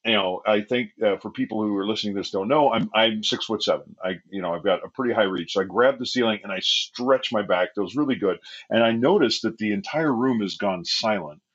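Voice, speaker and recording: A man in easy conversation, rambling through stories with plenty of fillers and laughs. His voice sits low with a husky edge. The recording sounds dull and lo-fi. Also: brisk, few pauses, a bit bright. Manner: laid-back, lots of fillers and laughs, says "man" and "dude". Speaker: podcast guest, game designer. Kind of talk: casual chat.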